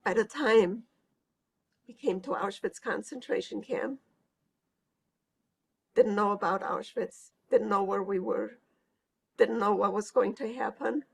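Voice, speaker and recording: An elderly woman from Hungary, giving a recorded oral history interview as a Holocaust survivor, speaking reflectively and hesitantly with pauses. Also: medium pitch, creaky, breathy edge. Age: older.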